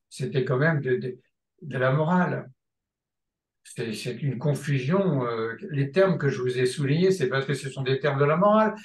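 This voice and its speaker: Hesitant male lecturer in an echoey room. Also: on the high side. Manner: hesitant, many restarts and filler sounds, emphatic repetition. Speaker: seminar lecturer in psychoanalysis. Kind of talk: spontaneous seminar teaching.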